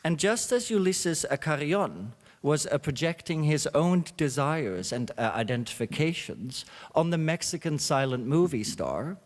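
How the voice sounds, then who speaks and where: A man hosting a symposium, reading a reflective introduction with many pauses and fillers. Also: on the high side, sing-song. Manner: halting, with frequent uh fillers. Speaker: symposium host. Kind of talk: read introductory speech. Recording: long hall reverb.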